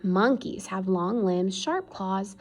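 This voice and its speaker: A woman's voice: mid-range voice, slow pace. A woman reading an educational children's book aloud in an explanatory way, stressing key words. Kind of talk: read-aloud educational narration.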